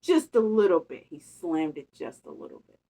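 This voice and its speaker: A woman reading a picture book aloud, expressive and playful, with chatty casual asides to children. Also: low-pitched, normal speed, vocal fry, husky, low-quality recording. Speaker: storytime reader.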